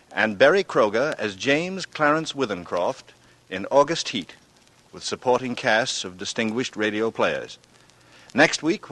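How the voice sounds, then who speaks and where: A man narrating a 1940s radio drama as host, formal, measured and theatrical in his scripted delivery. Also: mid-range voice, a bit bright, poor audio. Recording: old radio broadcast.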